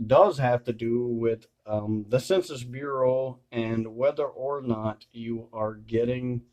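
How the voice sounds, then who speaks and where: A man's voice: mid-range voice, darkish tone. A man talking casually and unscripted to viewers, rambling and hesitant, repeating and correcting himself, with some blunt language. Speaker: video creator.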